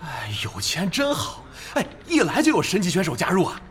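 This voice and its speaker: A man's voice: high voice, wildly swooping pitch, brisk, a bit bright, slight background noise, full character voice. An actor playing a chatty, envious young male gaming fan in a radio drama. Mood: envious, gossipy. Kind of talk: Chinese radio drama dialogue.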